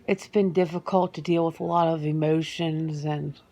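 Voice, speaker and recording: A woman playing a guarded, earnest werewolf answering interview questions in an ASMR roleplay, speaking haltingly and matter-of-factly. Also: low-pitched, fairly even intonation, warm tone, highs cut off. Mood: matter-of-fact, reflective. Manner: hesitant, matter-of-fact, halting with pauses.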